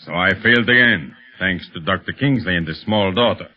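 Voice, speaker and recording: Villainous mastermind, low register, rough-edged voice, menacing, commanding, old radio serial, muffled recording. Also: male voice. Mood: angry, commanding. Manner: imperious, deliberate, menacing. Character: scheming criminal mastermind, commanding gang master. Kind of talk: 1930s radio serial acting.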